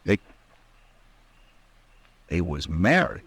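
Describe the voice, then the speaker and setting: An actor playing a distraught outlaw in a 1950s American radio western, with a halting, rustic drawl. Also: male voice, deep voice, very animated, a bit bright, husky, no echo, heavily acted. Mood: confused, agitated. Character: desperate, distraught Western outlaw gunman in love.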